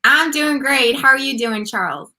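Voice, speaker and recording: A woman's voice: high-pitched, animated, moderate pace, very noisy. A woman from Germany, guest on an interview show, talking casually and upbeat about her marketing work. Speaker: podcast guest, marketing agency founder.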